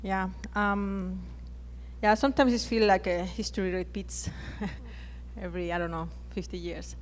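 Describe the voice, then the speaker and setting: Woman with a Chilean Spanish accent, halting delivery, repeated words, conference panelist. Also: medium pitch, fairly even intonation, a little hiss or hum. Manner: hesitant, frequent restarts and repeated words. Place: conference plenary hall.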